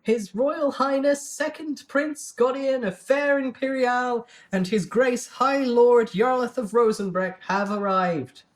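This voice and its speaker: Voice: medium pitch, slow, few pauses, voice pushed up, natural voice. A performer voicing a grand royal court announcer making ceremonious proclamations in a fantasy story.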